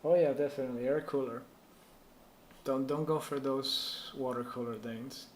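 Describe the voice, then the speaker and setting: A man from Puerto Rico, a native Spanish speaker, hosts a casual tech stream in a roomy, echoey space. His medium-pitched voice rambles as he thinks aloud, with faint noise underneath. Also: steady tone, moderate pace. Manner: rambling, thinking aloud while reading chat. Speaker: live stream host giving PC troubleshooting advice. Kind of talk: casual live stream chat.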